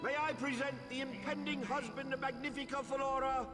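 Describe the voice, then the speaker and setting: Very high-pitched male herald. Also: steady tone, normal speed. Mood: ceremonious. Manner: declamatory, formal. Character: formal, ceremonious herald announcing to guests. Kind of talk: video game character acting. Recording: long hall reverb, music behind.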